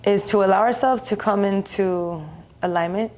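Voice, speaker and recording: A woman leading a guided meditation in a soothing, gentle, unhurried way, leaving long pauses between phrases. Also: medium pitch.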